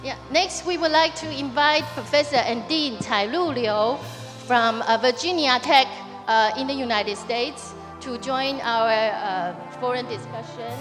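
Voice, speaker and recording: High-voiced woman moderating a stage panel. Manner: halting, many fillers and restarts. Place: large hall on stage. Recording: reverberant hall, faint music.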